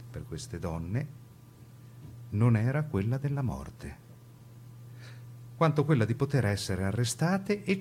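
Low-voiced man, grave radio narrator. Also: a little mellow, a little hiss or hum. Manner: grave, deliberate, theatrical phrasing. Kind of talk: scripted dramatic documentary narration for radio.

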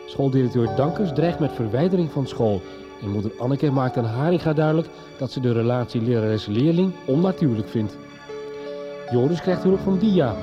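Male narrator for radio soap recap; very varied intonation, clear music bed underneath. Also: mid-range voice. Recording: slightly dull radio recording, music behind.

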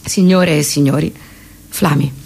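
A woman's voice: low-pitched, measured pace, creaky, darkish tone, breathy edge. A woman delivering a satirical monologue as a comic performer, mock-solemn and theatrical. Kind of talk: satirical stage monologue between songs.

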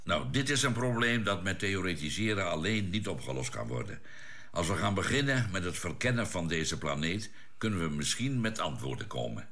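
An actor playing a sober, sceptical scientist on a spaceship crew in a radio drama, thoughtful and explanatory in manner. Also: male voice, low voice, sing-song, moderate pace, a bit bright. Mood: pensive, explaining. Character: sober, sceptical male scientist aboard a spaceship, reasoning carefully. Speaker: crew member.

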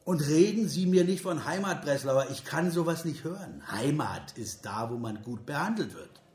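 An actor in a radio play voicing a bitterly sarcastic political cynic of 1930s Germany, with a rounded, rough voice and biting, declamatory delivery. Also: male voice, a little high, animated, moderate pace, a little mellow, natural voice. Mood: scornful. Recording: roomy echo, music behind.

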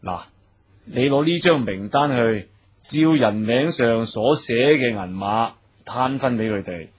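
A Cantonese gang boss in a radio drama. His mid-pitched voice has a rough husk to it. He instructs his men deliberately, with measured authority and a lecturing streak. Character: authoritative male gang boss and club owner, reforming, measured.

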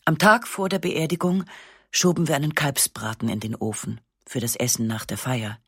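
A woman narrating a literary text in the first person, with a measured, restrained and sober delivery on a close studio microphone. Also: very deep voice, steady tone, husky, dry recording. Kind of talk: literary reading of a memoir-like novel.

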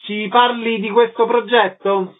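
A man's voice: high voice, flat delivery, a little slow. A man hosting a radio interview, reading out an introduction and putting questions to a guest. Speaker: radio host. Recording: narrow phone-like radio sound.

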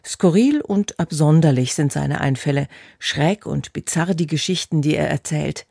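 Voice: medium pitch, animated, moderate pace, gravelly, dark tone, no room sound, clean studio sound. A radio announcer reading a scripted introduction to a programme.

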